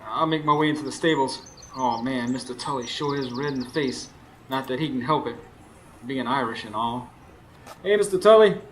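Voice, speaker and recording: A man's voice: mid-range voice, normal speed, a bit bright, lightly acted, poor audio. A man acting as a weary, anxious working husband in a radio drama. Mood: weary, musing. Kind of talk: radio drama acting with first-person narration. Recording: faint background noise, live stage.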